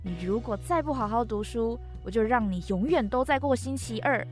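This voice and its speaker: A controlling mother, played by a woman, scolding and giving orders in a homemade audio drama. Her voice is on the high side, and light music runs underneath. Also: normal speed, a little mellow, lightly acted. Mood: stern, threatening. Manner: stern, scolding, commanding. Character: strict, controlling, threatening mother of a schoolboy. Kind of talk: amateur audio drama acting.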